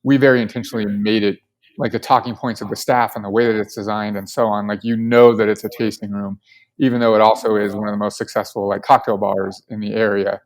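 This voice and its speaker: A man's voice: lowish voice, little pitch movement, fast. A man from Colorado, the guest co-founder, answering questions casually and with enthusiasm, with lots of filler words. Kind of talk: casual podcast interview.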